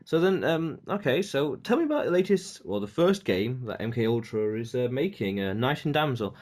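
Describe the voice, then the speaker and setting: A man's voice: medium pitch, sing-song, moderate pace, darkish tone. Upbeat male podcast host. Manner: upbeat, brief reactions. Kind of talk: scripted intro and casual interviewing.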